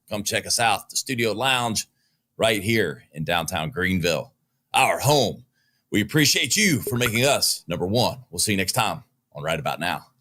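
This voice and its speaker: A man's voice: on the low side, pushed and bright. A man with a Southern US accent, hosting a business podcast and giving opinionated news commentary with joking asides from a studio. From Southern United States. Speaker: podcast host.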